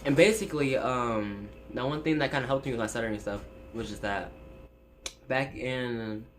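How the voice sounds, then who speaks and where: A man's voice: medium pitch, normal speed, slight background noise. A seventeen-year-old guy who stutters, chatting casually on his vlog from his bedroom, rambling with lots of fillers and restarts, recorded on a phone. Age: teens. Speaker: YouTuber. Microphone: phone set up in room.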